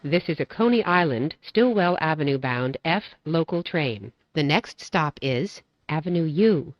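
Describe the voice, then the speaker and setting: A woman reading scripted train announcements as a transit announcer, in a measured, formulaic way. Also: low-pitched, animated, no echo, highs cut off.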